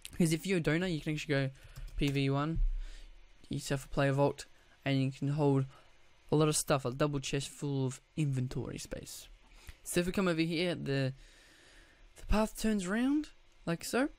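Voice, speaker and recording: A man with a croaky, just-woken-up voice, giving casual gameplay commentary, rambling with restarts and throat clearing. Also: a little high, moderate pace. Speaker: gaming YouTuber.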